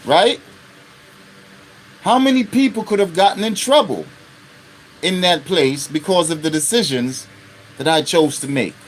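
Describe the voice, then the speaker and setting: A man's voice: a little high, expressive, unhurried, long pauses, a little hiss or hum, rough recording. A man in his fifties hosting an unscripted live stream, talking bluntly and profanely and often checking in with his listeners. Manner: blunt and profane, with constant rhetorical check-ins.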